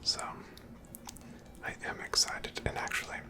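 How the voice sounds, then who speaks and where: A person whispering softly and breathily, giving gentle, casual ASMR commentary while playing a video game. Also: unhurried. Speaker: host of a gameplay series.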